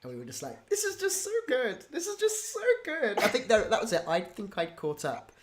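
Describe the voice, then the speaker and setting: Rambling, low-voiced woman interviewer, noisy background. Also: huge pitch swings, brisk. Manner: informal, rambling, self-interrupting. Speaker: interviewer, podcast creator. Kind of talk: casual interview chat. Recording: clear ambient background noise.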